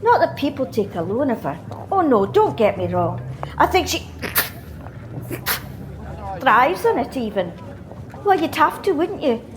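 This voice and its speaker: Woman acting a lilting, high-voiced Scottish flower seller. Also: big pitch swings, unhurried, long pauses, slight background noise. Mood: admiring gossip. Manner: chatty, gossipy. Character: chatty, admiring female flower seller, sniffly and wistful. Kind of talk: radio play monologue. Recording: street bustle behind voice.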